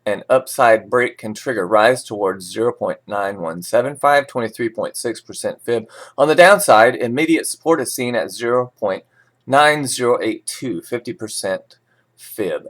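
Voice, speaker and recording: A man's voice: lowish voice, a bit bright. A man reading financial news as an informal host, breaking into sarcastic, opinionated and folksy asides.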